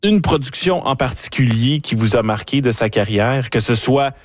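A man hosting a radio panel discussion, speaking in a measured way with frequent hesitations. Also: medium pitch, no echo, studio quality. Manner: measured, steady, many hesitations. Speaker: radio show host.

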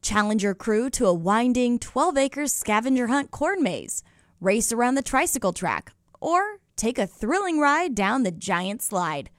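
A woman with a bright, higher voice delivers a cheerful ad read, inviting families in. Her read is dry and close, with no room sound. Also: big pitch swings, measured pace, no echo. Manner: bubbly, inviting. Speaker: commercial voice-over. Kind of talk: upbeat scripted ad read.

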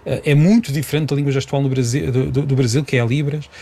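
A man with a European Portuguese accent talks very quickly in a medium-pitched voice. He explains like an expert in conversation, stressing points and rewording them as he goes. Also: fairly even intonation, creaky, dark tone. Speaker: language expert, regular guest.

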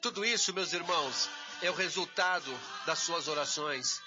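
A man's voice: a little high, normal speed, muffled recording, over music. A Brazilian man, a pastor, emphatically announcing a church event and repeating phrases to exhort listeners. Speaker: pastor and co-host.

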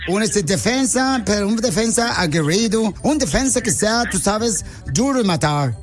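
Man putting on a halting soccer recruiter, pushy questions, stumbles and repeats, a touch high. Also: animated, over music. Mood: deadpan probing. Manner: stumbling, repeats words, uses vos forms. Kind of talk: prank phone call.

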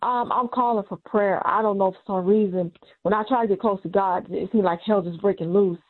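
A woman calling in to ask for prayer, speaking in a halting, troubled way. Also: mid-range voice, fairly even intonation. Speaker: caller asking for prayer. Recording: tinny phone line.

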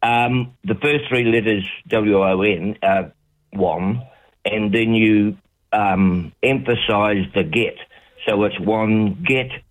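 A middle-aged or older man with a New Zealand accent, chatting haltingly as a radio phone-in caller. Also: on the low side, fairly even intonation, poor audio. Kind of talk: casual chat. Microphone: phone call.

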